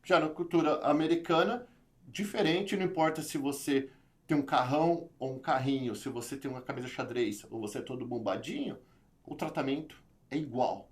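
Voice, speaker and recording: A man from Brazil with a São Paulo accent, a guest in an interview, telling his story in an animated, casual way with frequent restarts. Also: medium pitch. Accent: São Paulo Brazilian Portuguese. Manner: animated, talks in quick bursts with many restarts. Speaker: interview guest, YouTube channel creator.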